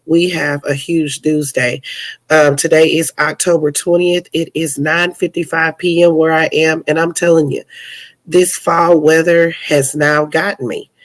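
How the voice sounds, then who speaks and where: Sassy, chatty stuffy-nosed podcast host. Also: female voice, low-pitched. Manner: sassy and chatty, addresses listeners as players and honey. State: stuffy from a cold. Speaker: podcast host and news commentator. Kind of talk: casual news commentary podcast.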